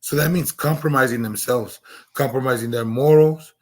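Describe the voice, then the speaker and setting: A hoarse man losing his voice, talking earnestly on a stream with lots of restarts and fillers. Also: medium pitch, normal speed. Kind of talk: casual livestream monologue.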